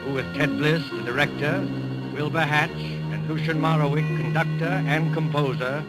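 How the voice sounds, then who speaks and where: A man's voice: a little high, poor audio. Formal man narrating vintage radio suspense. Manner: formal, ominous, measured. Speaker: narrator and announcer. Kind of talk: scripted 1940s radio narration. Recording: old radio broadcast with music.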